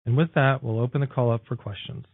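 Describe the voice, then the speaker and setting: A man's voice: mid-range voice, steady tone, quick pace, no echo. A man working as a company executive reads formal, prepared earnings call remarks. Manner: formal, reading prepared text. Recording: narrow phone-like line.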